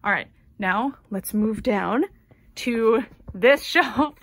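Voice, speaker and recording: A woman's voice: on the high side, takes it slowly, no room sound. Chatty American woman, dry close sound. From United States. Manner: chatty, gushing, filler-heavy with ums and likes. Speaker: doll collector vlogger. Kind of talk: casual collection tour.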